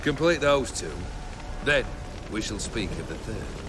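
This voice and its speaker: A man's voice: fairly low pitch, very animated, measured pace, background noise. An actor playing a cynical, imperious bandit nobleman in a video game, with theatrical, sardonic and ornate delivery. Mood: amused, imperious. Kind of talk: video game cutscene acting.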